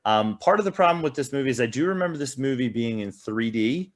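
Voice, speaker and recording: A man's voice: medium pitch, lively intonation, fast talker. A man co-hosting a podcast, chatting casually about films and games. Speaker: podcast co-host. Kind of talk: casual movie and game review chat.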